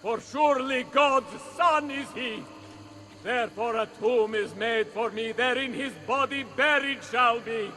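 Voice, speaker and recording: Game role: melodramatic male stage player, very high voice, slow grand declamation. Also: pushed and bright, heavily acted. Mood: anguished declaiming. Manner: declamatory, archaic passion-play delivery. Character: theatrical male stage actor playing Christ, frightened and dying. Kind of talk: dramatic game acting.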